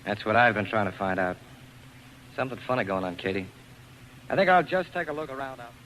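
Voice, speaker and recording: An actor playing a tough, slangy saloon boss in 1940s radio style. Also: male voice, medium pitch, lively intonation, fairly quick, a bit bright, close to an everyday voice. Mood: tough, menacing. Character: tough, vengeful saloon boss with wary temper. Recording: noisy 1940s radio broadcast recording.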